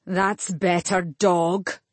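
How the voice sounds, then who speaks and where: A woman's voice: fairly low pitch, a little slow, darkish tone, slightly rough, no echo. A woman voicing an angry, scornful elven sorcerer in an audiobook, with a snarling, commanding manner. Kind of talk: audiobook character acting.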